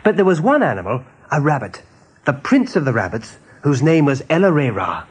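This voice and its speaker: An actor playing an articulate, companionable rabbit storyteller in a 1980s radio drama, with a husky voice. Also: male voice, on the high side, very animated, moderate pace. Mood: storytelling, playful.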